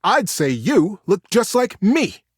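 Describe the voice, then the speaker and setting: A man's voice: sits high, sing-song, slow, slightly rough, no echo, clean studio sound, voice pushed up, heavily acted. A man voicing a proud, serious young male beaver with a pompous, formal and emphatic manner in a story. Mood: guarded.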